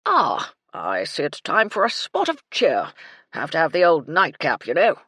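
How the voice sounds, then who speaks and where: A woman's voice: deep voice, wildly swooping pitch, a little slow, fairly bright tone, breathy edge, no echo, studio quality, exaggerated voice. A woman voicing a tipsy, blustering old English army colonel with a bluff, clipped manner and old-fashioned slang, read in an audiobook. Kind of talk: audiobook character voice.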